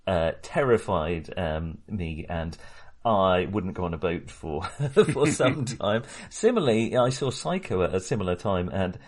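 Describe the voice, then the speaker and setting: A man co-hosting a film podcast in casual discussion, talking haltingly and often correcting himself. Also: sits low, animated. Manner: hesitant, halting, self-correcting.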